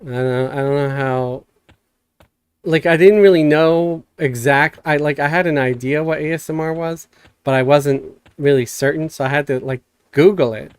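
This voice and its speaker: A man hosting a live stream, chatting casually in a soft, calm way. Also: a little high, normal speed, clean tone, no room sound. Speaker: streamer host. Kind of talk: casual chat.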